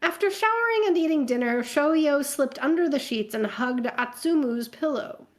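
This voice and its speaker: A woman's voice: high voice, lively intonation, moderate pace. A woman narrating fan fiction aloud for a comedy show, with joking asides.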